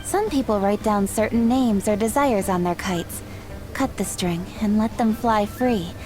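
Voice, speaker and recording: An actress voicing a polite, soft-spoken young woman in a game who explains traditions in a measured, formal way. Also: mid-range voice, lightly acted, rough recording. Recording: game music behind.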